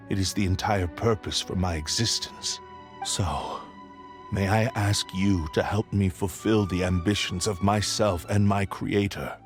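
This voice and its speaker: A man voicing a remorseful, ancient cursed sword spirit in a video game, speaking in a grave, formal, measured way. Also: low-pitched.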